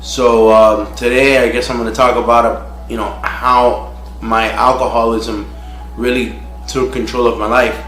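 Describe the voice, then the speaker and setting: A man from Miami sharing his personal recovery testimony in a frank, halting way, with many "you know" fillers. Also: medium pitch, level tone, fairly bright tone. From Miami, United States. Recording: roomy echo with faint music.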